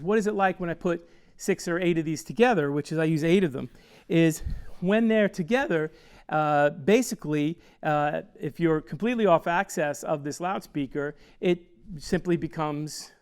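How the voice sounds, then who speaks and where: A man in his sixties, an audio designer, explaining a loudspeaker system in a technical, rambling way with fillers, on a lapel mic in a small studio. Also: a little high, clean tone. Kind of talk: product explainer. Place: small studio with wooden backdrop.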